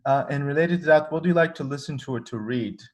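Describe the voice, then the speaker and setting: A man moderates a live-stream Q&A and reads audience questions aloud. His voice sits a little high for a man. His delivery is relaxed. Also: fast talker.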